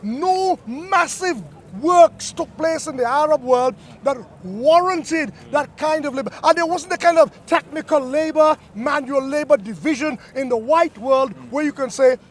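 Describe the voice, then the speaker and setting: A man with a very high voice makes his points insistently, pressing on as others try to cut in. He speaks outdoors in a public square. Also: a little slow, few pauses. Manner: insistent, lecturing, cuts in. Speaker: debater. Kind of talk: street debate. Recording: outdoor street recording.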